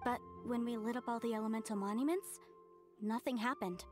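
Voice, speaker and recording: Game role for a woman: young ruins researcher, fairly high register, earnest and explanatory, light music behind. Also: a little fast, close to an everyday voice. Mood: explaining. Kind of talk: English video game voice acting.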